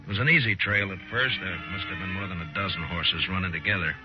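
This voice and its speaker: An actor playing a terse, watchful frontier marshal in the understated style of a 1950s radio western. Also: male voice, sits low, fast talker, lightly acted. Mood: measured narration. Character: laconic male frontier marshal, steady, watchful lawman. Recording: muffled old-time radio recording with music.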